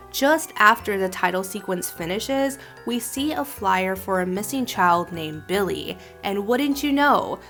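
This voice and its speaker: A woman giving scripted video commentary in a conversational storytelling way, with expressive emphasis. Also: mid-range voice. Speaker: host reviewing an animated horror film. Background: background music.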